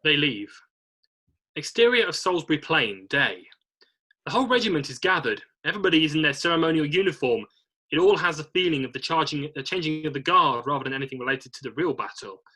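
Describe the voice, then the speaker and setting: A male narrator reads scripted stage directions aloud. His voice is fairly high and forceful, with a husky, rough edge. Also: expressive, fast, poor audio.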